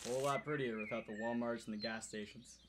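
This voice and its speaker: Teenage boy played by a man, medium pitch, sarcastic, skeptical, nervy, slangy, natural radio play acting. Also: fairly even intonation, fast, heavy background noise, natural voice. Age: teens or young adult. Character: wisecracking, skeptical, nervous teenage boy on a hike. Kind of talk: naturalistic audio drama acting.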